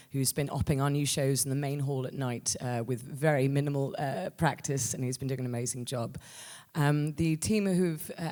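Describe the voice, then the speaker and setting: A woman speaking live at an awards ceremony, halting, flustered and self-deprecating as she gives an informal thank-you speech. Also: sits low, a little mellow, big-room sound. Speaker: writer-performer accepting an award.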